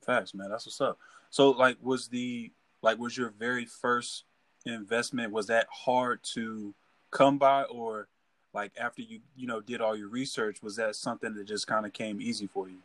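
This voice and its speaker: A man's voice: medium pitch, steady tone, moderate pace, fairly bright tone, no room sound. A man hosting a casual interview, laid-back and dropping "man" into his questions. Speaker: interview host.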